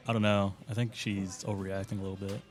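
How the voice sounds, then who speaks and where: An actor playing a laid-back, concerned American male friend in an audio drama, with a casual, low-key way of talking. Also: flat delivery, fast, darkish tone, lightly acted. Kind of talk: naturalistic audio drama dialogue.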